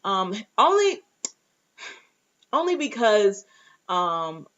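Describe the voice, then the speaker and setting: A woman's voice: medium pitch, sing-song, drawn-out words, fairly bright tone, highs cut off. A woman giving a casual, chatty recap of a TV show in an informal way, retelling dialogue and adding her own reactions. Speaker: reviewer and host of a TV recap show. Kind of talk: casual recap chat with acted-out asides.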